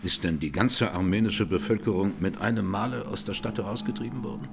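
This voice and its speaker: An actor playing a composed presiding judge, putting formal, probing questions in a radio courtroom drama. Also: male voice, on the low side, fairly quick, creaky, noisy background.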